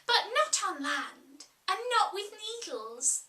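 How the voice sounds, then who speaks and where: A polite, eager little girl, as a woman reader puts her on, with a high, very bright voice that lifts up when she pleads. The sound comes from a distance in an echoing room. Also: very animated, takes it slowly, heavily acted. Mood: puzzled, polite. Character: polite, eager young girl, easily vexed. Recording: roomy echo, distant mic.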